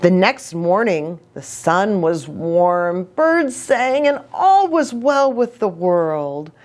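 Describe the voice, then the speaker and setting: A woman's voice: lowish voice, big pitch swings, slow, darkish tone. A woman reading a children's story aloud expressively, adding little asides for young listeners. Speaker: children's storybook reader, pastor.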